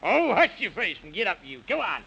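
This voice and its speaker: High-pitched excitable Man in the Moon. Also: fairly quick, creaky, heavily acted. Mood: bossy, flustered. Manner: exclamatory, fussy, folksy. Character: excitable fussy Man in the Moon, kindly guide. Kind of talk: old-time radio children's serial acting. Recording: muffled, noisy old radio broadcast recording.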